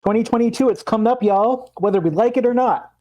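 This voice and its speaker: A man's voice: extremely high pitch, fast, mellow. A man, a player on the stream, chatting in an upbeat, witty way about his plans. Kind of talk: casual chat.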